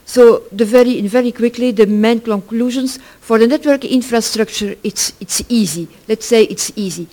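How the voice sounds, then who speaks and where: A middle-aged or older speaker from Belgium, giving an informal conference presentation in halting, self-correcting English. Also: mid-range voice, darkish tone. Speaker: project work package leader, conference presenter.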